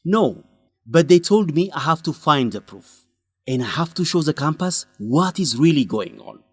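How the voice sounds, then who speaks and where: A man's voice: fairly high pitch, lively intonation, normal speed, darkish tone, breathy edge, no echo. A man acting as a determined, indignant young student reporter in a radio drama, blunt and emphatic. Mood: urgent, serious. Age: young adult. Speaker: actor in an educational radio drama.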